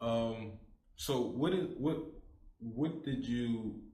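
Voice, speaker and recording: A man's voice: mid-range voice, extremely slow, mellow. Laid-back male host, echoey room. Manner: laid-back, affirming with frequent mm-hmms. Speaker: interview host. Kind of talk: casual interview talk.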